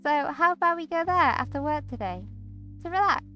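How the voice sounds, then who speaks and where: A woman's voice: high-pitched, animated, brisk, sharp tone, highs cut off, faint music behind, voice pushed up. A woman voicing a cheerful, pushy young female coworker in a visual novel, coaxing and sweetly teasing. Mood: bubbly, friendly. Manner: bubbly, coaxing. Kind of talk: visual novel character acting.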